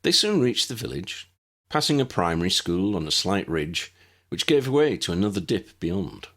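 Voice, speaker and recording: A man with a gravelly, husky voice reading scripted story narration. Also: low-pitched, big pitch swings, normal speed, fairly bright tone. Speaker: narrator, audiobook reader.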